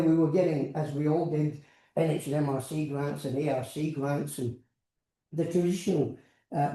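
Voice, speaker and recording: A man's voice: a little high, warm tone. An older man with a Scottish accent gives an informal academic lecture, rambling into anecdotes and repeating words as he goes. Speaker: professor giving a science lecture. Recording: roomy, echoing lecture sound.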